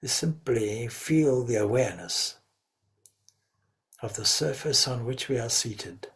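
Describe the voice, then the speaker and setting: A man leading a guided meditation as a spiritual teacher, speaking reverently in short, measured phrases. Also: mid-range voice, animated.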